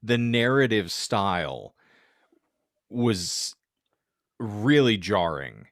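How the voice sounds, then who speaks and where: A man with a very low voice, recorded dry and close with no room sound. He talks as a podcast co-host in casual book chat, choosing wordy, ornate phrases. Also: big pitch swings, extremely slow, fairly bright tone, dry recording.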